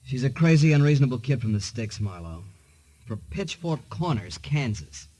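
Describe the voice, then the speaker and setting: An American actor playing a nervous, evasive man in his thirties in a 1950s radio drama, halting and defensive. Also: medium pitch, big pitch swings, dark tone, dry recording. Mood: evasive, sheepish. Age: 30s.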